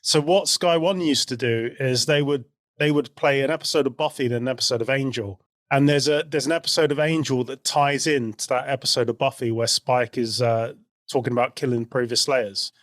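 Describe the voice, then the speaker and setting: A man's voice: mid-range voice, steady tone, fairly quick, dry recording, studio quality. A man co-hosting a podcast, explaining things in an easygoing, casual chat about pop culture. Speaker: podcast co-host.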